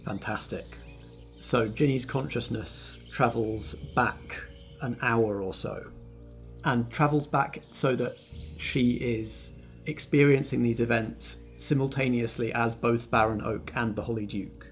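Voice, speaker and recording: A man narrating a tabletop roleplaying game as game master, in a measured, descriptive storytelling manner. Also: mid-range voice, steady tone. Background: faint background music.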